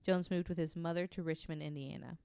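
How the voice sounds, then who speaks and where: Female podcast storyteller, deep and clean-toned, informal and wry, reading from notes with loose banter. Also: steady tone, fairly quick, vocal fry, dark tone, clear, resonant tone, no echo, studio quality. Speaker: podcast host.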